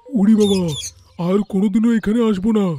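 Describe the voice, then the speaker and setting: Brash, jumpy rich man for animation male actor: high, mellow, exclamatory. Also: steady tone, fairly quick, soft background music, lightly acted. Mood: startled. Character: brash, easily startled businessman landowner.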